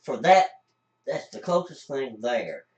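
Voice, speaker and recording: A man's voice: medium pitch, very animated, a little slow, distant mic, lo-fi sound. A man chatting casually and joking bluntly as a co-host. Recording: roomy, away from the mic.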